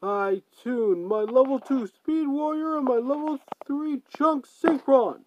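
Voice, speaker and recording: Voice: high voice, a little slow, dull audio. An amateur performer reading out every part in an anime fan dub. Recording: noisy home recording with clicks.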